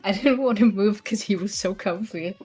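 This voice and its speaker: A woman with a mid-pitched voice chats casually as a guest on a livestream. Her delivery is playful and wry, with music behind her. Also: moderate pace, mellow, breathy edge. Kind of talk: casual chat.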